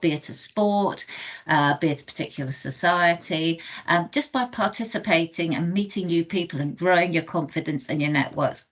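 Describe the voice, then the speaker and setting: Low-voiced woman careers adviser. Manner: warm-toned, reassuring, halting with fillers. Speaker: careers specialist. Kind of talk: online panel moderation. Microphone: video call.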